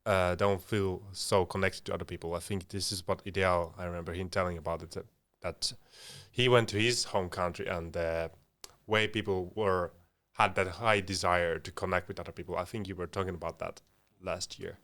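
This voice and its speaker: A young man with a fairly low voice co-hosts a casual podcast. He talks reflectively in a conversational way, slipping into little imagined exchanges to make his point. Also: steady tone, moderate pace, few pauses, fairly bright tone. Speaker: podcast co-host.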